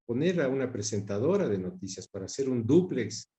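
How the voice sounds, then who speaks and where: Older guy from quito, ecuadorian accent, mellow voice, thoughtful interview chat, pauses and repeats words a bit. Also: medium pitch, big pitch swings. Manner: measured, pausing and repeating words while searching for them. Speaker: interview guest recalling his father's career. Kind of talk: reflective conversational interview.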